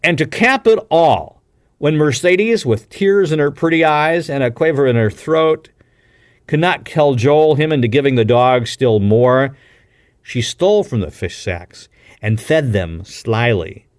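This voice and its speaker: Guy reading an adventure novel out loud, really expressive and dramatic, dry close sound. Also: mid-range voice, measured pace, no room sound. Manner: dramatic, emphatic storytelling delivery. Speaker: audiobook reader. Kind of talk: expressive scripted narration of a classic adventure novel.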